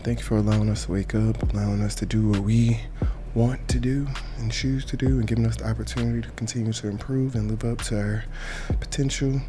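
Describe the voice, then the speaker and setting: A man's voice: lowish voice, fairly even intonation, fairly quick, hardly any pauses, background noise. A man guiding a meditation with informal prayer in a casual, colloquial, encouraging way. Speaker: meditation guide. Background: music behind the voice.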